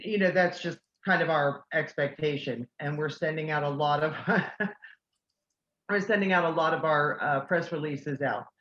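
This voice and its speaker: A woman's voice: low-pitched, reverberant, dull audio. A woman who organizes a convention, chatting casually and telling a self-deprecating story. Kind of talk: casual video call chat.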